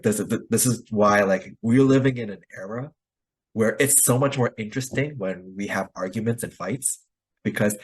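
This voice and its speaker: A man's voice: lowish voice, breathy edge, poor audio. A man hosting an online discussion, explaining ideas casually and often checking in with a short tag question. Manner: explains with game analogies, checking with "right?".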